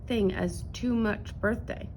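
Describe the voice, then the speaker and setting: A woman voicing a cautious mother bear in a children's storybook, measured, motherly and gently restraining. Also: mid-range voice, steady tone, a little hiss or hum, rough recording.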